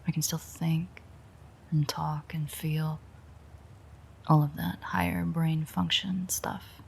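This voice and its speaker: A woman playing a weary, sardonic, teasing female vampire in an intimate audio roleplay, with a breathy, husky voice that often drops near a whisper and a dry, sarcastic way of talking. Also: low-pitched, level tone, slow pace, a little mellow, slight background noise, heavily acted. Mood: weary, musing. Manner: dry, rambling, sarcastic banter.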